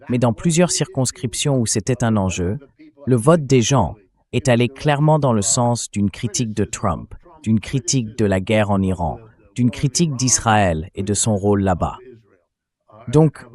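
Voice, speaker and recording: A synthetic male voice delivering translated interview commentary in a measured, explanatory way, as a political commentator. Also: medium pitch, lively intonation, a little mellow. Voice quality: synthetic text-to-speech voice.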